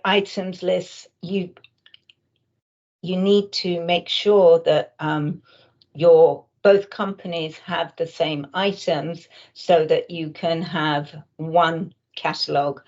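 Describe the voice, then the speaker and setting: A woman presents in an explanatory webinar manner, like a consultant walking clients through a product. Her voice sits a little low and she speaks quite slowly, often hesitating mid-sentence. The audio is somewhat dull and muffled.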